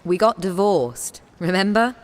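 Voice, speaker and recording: A woman acting a confident theatre director in a radio play. Her mid-pitched voice is frank and moves about with lots of lively intonation. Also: unhurried, natural voice.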